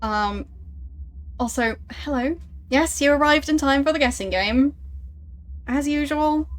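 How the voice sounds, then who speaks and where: A woman's voice: high voice, measured pace. A young woman streaming a game, chatting casually with viewers and chuckling as they guess her accent. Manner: relaxed, chuckling, teasing about her accent. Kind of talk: casual livestream chat, reading chat.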